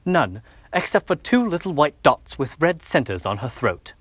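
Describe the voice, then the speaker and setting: Man playing a formal doctor, lively inflection. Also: on the high side, no echo. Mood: worried. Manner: formal, measured, commanding. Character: formal, authoritative Victorian doctor and worried father. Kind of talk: stage radio drama acting.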